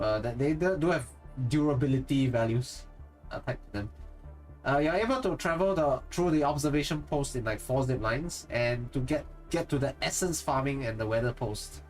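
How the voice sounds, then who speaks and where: Singaporean-accented male streamer, mid-range voice, thinks aloud and corrects himself, faint music underneath. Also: medium pitch. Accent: Singaporean English. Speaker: streamer and content creator. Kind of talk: casual live-stream chat with viewers.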